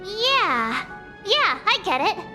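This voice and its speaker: A bubbly, curious teenage girl from an anime game, very high and bright. She is chatty and exclaims freely, swinging widely in pitch. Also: big pitch swings, slow, faint music behind, heavily acted. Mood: puzzled. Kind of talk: anime-style game voice acting.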